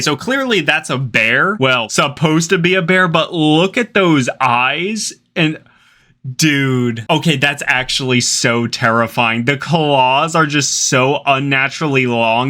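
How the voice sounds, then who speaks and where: A man's voice: medium pitch, sharp tone. A young man hosting a reaction video with slangy, joking, exclamatory casual commentary.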